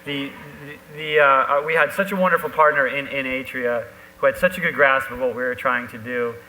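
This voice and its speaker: A man speaking as an author in a live panel conversation, haltingly, often restarting and repeating his words. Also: medium pitch, fairly even intonation. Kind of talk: live on-stage conversation about a book. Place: live event hall with an audience. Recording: echoey hall, bright, faint hum.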